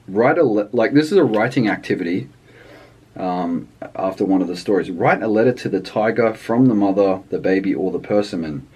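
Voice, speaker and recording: A man's voice: on the low side, animated, brisk, a little mellow, breathy edge. A man giving a casual, unscripted review in a hesitant, rambling way, often trailing off mid-thought. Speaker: language book reviewer.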